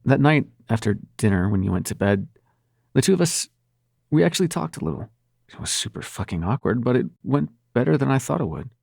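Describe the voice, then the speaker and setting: A man's voice: lowish voice, gravelly, darkish tone, husky, dry recording, clean studio sound, heavily acted. A man playing a brash, flustered prince of nightmares in love, stammering and swearing through an intimate audio roleplay. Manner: halting, stammering, sweary and sardonic. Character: male supernatural prince of nightmares, brash, flustered, smitten lover.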